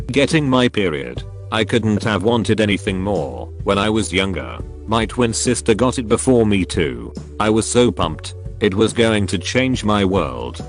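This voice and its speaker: A man narrating a compilation of reader stories in a dry, flat-toned reading. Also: lowish voice. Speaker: narrator reading online posts.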